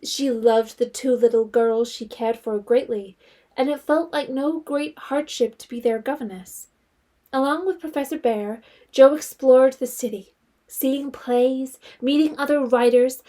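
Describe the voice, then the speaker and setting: A composed female narrator tells a period story in a high-pitched voice. The delivery is scripted, measured storytelling for an audio drama. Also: dark tone.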